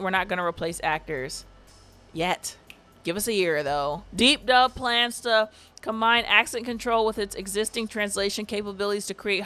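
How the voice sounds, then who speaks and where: A woman giving casual, sarcastic commentary on a vlog, animated and quick to laugh. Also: medium pitch. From family from Barbados. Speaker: YouTube commentator.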